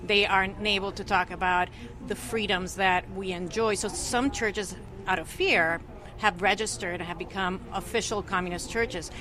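A woman's voice: mid-range voice, steady tone, moderate pace, background noise. A woman commissioner on religious freedom, speaking earnestly and fluently as a guest in a news interview.

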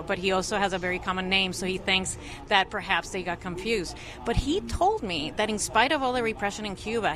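Earnest woman commissioner over background noise. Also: medium pitch. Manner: earnest, fluent advocacy. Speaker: religious freedom commissioner. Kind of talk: news interview guest.

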